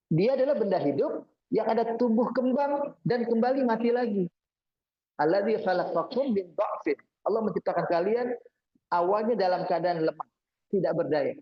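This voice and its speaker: A man's voice: high-pitched, breathy edge, muffled recording. A man giving an Islamic sermon, explaining Quran verses and reciting the Arabic before translating it. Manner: explanatory, repeats points with "ya" and "nah". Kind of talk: religious study lecture.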